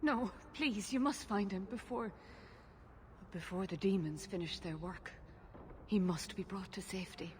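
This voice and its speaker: A woman's voice: mid-range voice, measured pace, slight background noise, natural voice. An actress playing an anxious elven mother who pleads earnestly for help finding her son, in a game cutscene. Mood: pleading.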